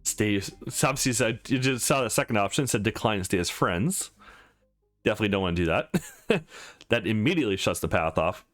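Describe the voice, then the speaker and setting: A man's voice: fairly low pitch, expressive, a little fast, fairly bright tone. A man hosting a let's play, giving casual commentary on a visual novel with music underneath. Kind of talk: casual game commentary and read-aloud.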